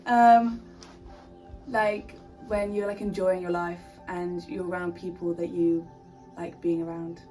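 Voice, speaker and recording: A woman's voice: on the low side, flat delivery, unhurried, dark tone. A young woman, a college freshman, searching for words as she answers. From Bristol, England. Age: young adult. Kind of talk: documentary interview answers. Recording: distant mic with music underneath.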